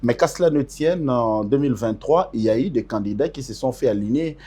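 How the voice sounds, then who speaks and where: A man's voice: medium pitch, expressive, fairly quick, dark tone, husky. A man hosting a studio interview, who echoes his guest's words and asks probing questions. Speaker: interviewer, host.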